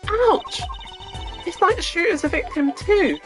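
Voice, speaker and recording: A woman voicing a bubbly, excitable teenage girl sidekick from a video game, chirpy and eager. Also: very high-pitched, measured pace, music in the background, pitched above the performer's own voice. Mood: playful.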